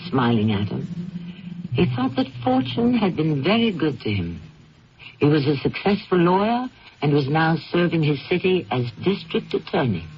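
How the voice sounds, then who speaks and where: An actor narrating a scripted mystery tale as the storyteller of a 1970s radio drama. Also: male voice, a little high. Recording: dull, faintly noisy 1970s radio broadcast.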